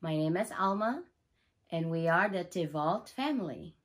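A woman's voice: on the low side, animated, moderate pace, very noisy. A woman in her thirties from the Philippines, chatting casually on a couch in her living room about the family channel, with many fillers and restarts. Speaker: family vlogger.

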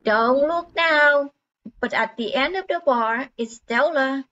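An older woman playing an unhurried barmaid in an amateur radio drama. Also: high-pitched, sharp tone, full character voice. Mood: matter-of-fact. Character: Nadine, unhurried dive bar barmaid. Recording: muffled, noisy room recording.

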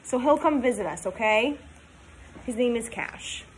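A woman in her twenties reading a storybook aloud to young children, talking in simple, sing-song, animated phrases. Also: fairly high pitch, unhurried, a little hiss or hum, low-quality recording. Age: 20s. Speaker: teacher reading aloud to pupils.

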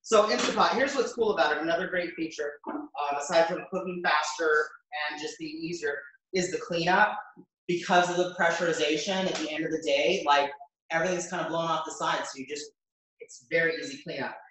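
A man's voice: a little high, brisk, sharp tone. An American man in his fifties giving a casual, chatty live cooking demonstration, thinking aloud as he works. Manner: chatty, informal, thinking aloud while working. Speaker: chef hosting a cooking demonstration. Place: home kitchen, indoors. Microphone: camera a few metres away. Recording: very echoey kitchen, distant microphone.

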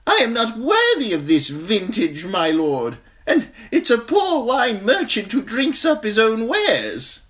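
A man's voice: high voice, huge pitch swings, a bit bright, voice pushed up, heavily acted. A man reading an audiobook, voicing a fawning, nervous male wine seller with an unctuous, coaxing manner. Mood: wheedling. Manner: unctuous, coaxing, drawn-out pleading.

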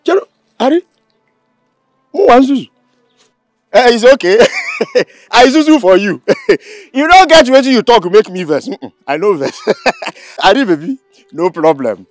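Giggly Nigerian suitor, swooping high voice. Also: male voice, sing-song, moderate pace, dry recording, faint music behind. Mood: teasing. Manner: giggly, teasing, stumbles over words. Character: giggly lovestruck village suitor, grown man. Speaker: lovestruck suitor. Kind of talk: comic film acting.

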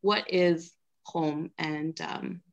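A young woman who grew up in China and has Mandarin as her first language. She tells stories in a chatty, animated way, often breaking into laughter, and her voice is heard through a laptop mic on a video call. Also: deep voice, drawn-out words, vocal fry, muffled recording. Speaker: panelist. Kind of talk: casual storytelling on a panel.

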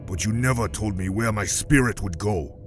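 A man's voice: lowish voice, moderate pace, soft background music, natural voice. A man playing a grim, imperious warlord back from death, stern, curt and brooding, in an audio comic drama.

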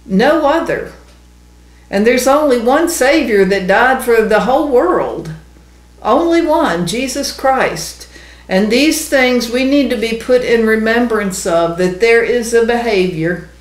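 A woman's voice: fairly high pitch, lively intonation, slow. Woman, 60s. Emphatic, earnest Bible teacher, conversational, recorded in an echoey room. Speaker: ministry co-host, Bible teacher. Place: small indoor room. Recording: roomy, echoey indoor sound.

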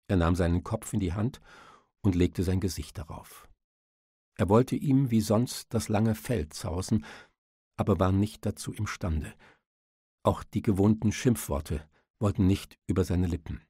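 A man narrates an audiobook with dramatic, literary delivery. His voice is low, mellow and husky, recorded close and dry. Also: no room sound.

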